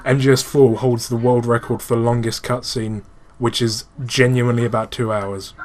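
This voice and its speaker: A man's voice: medium pitch, level tone, normal speed, a little mellow. Exasperated gamer man, casual commentary. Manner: enthusiastic fan explaining, exasperated, swears. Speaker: gaming channel host and player.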